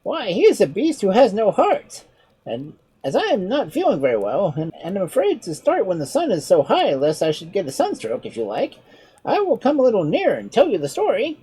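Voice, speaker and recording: A woman's voice: mid-range voice, wildly swooping pitch, fairly quick, dark tone, slightly rough, voice pushed up, exaggerated voice, poor audio. A woman voicing a clever, playful male monkey in a storybook reading, with a chirpy, teasing manner. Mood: mocking.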